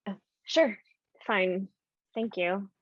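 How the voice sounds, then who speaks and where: An actress playing a proud, blunt Filipino American performer at an audition in a naturalistic play. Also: female voice, mid-range voice, extremely slow, no room sound. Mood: curt, polite. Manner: blunt, confrontational. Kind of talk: naturalistic stage play acting over video call. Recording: muffled, dry video-call audio.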